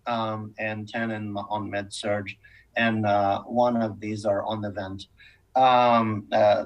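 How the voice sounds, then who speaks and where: A man reporting as a hospital chief of staff, informal and halting, picked up by a distant microphone. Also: mid-range voice.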